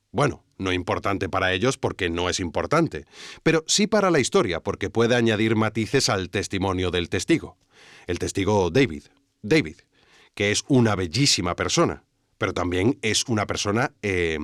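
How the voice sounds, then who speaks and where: Male actor podcast documentary host, low lively voice, dramatic scripted narration, dry studio sound, earnest. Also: dry recording, natural voice. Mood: awkward, flustered. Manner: dramatic, deliberate, rhetorical.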